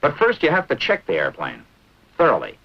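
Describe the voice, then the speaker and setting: An American man narrating a 1940s military training film in a brisk, instructive manner with clipped short phrases. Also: a little high, huge pitch swings. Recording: dull, band-limited vintage film soundtrack.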